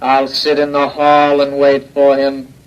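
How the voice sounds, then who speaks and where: An actor playing an obedient, childlike iron robot in a radio drama, speaking in a measured monotone. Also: male voice, mid-range voice, smooth, dull audio, natural voice. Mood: naively curious. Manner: flat, measured monotone delivery. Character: slow, obedient, childlike iron robot henchman, naively curious. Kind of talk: old-time radio drama acting.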